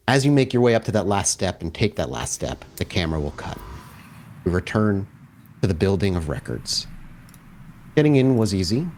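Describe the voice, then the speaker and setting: A man narrating a tabletop horror game as storyteller, with descriptive, deliberate phrasing. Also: deep voice, darkish tone, a little hiss or hum.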